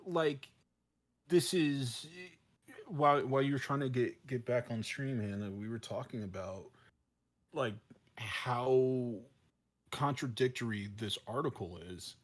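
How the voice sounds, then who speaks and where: Man arguing in stop-start bursts. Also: medium pitch. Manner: halting, repeats and restarts phrases for emphasis. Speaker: podcast co-host. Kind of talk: casual opinionated discussion.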